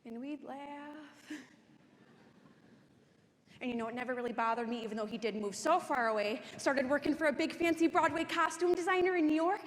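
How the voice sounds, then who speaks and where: A woman's voice: sits high, quick pace, close to an everyday voice. A young woman playing a cheerful, prim middle-aged crafter in a dramatic monologue, in an echoey hall. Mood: wistful. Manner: bright, folksy, cheerfully prim. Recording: echoey hall, faint noise.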